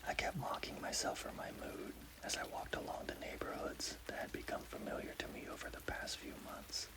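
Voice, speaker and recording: A man's voice: normal speed, rough recording. Whispering man narrating a scary story. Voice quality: breathy unvoiced whisper.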